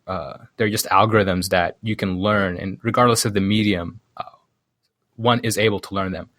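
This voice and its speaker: Low-voiced man thinking aloud, very close sound. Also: steady tone, fairly bright tone, no room sound. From Sudan. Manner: halting, thinking aloud with self-corrections. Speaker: podcast guest, programmer. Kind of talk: casual conversational explanation.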